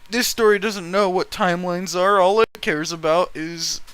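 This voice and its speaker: Guy reading fanfic and ranting about it, super clear bright voice, blunt and sweary. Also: high-pitched, normal speed, no echo. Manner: exasperated, blunt, incredulous asides. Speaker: host reading fan fiction aloud. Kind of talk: story reading with mocking, sweary commentary.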